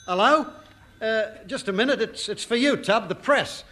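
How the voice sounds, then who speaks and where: An actor playing a timid, dopey, hapless sparring partner in a 1950s radio comedy, plaintive and hesitant. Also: male voice, sits high, moderate pace, bright, forceful tone. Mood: flustered. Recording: very noisy old radio recording.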